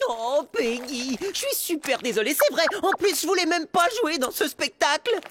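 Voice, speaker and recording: A woman's voice: high-pitched, expressive, normal speed, fairly bright tone, slight background noise. A woman voicing an anxious, well-meaning young male germ in a French cartoon dub, flustered and prone to stammering. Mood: apologetic, scheming. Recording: music under the voice.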